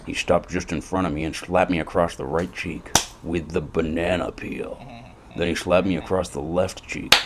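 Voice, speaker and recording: An actor playing a wisecracking, sardonic private detective in a hardboiled noir audio drama. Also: male voice, sits low, fairly even intonation, moderate pace, noisy background, natural voice. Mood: brooding narration. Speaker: narrator and lead detective.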